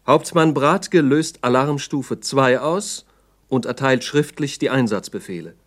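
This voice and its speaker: A man's voice: mid-range voice, a little slow. A man narrating a 1960s radio play as a sober, formal, factual report.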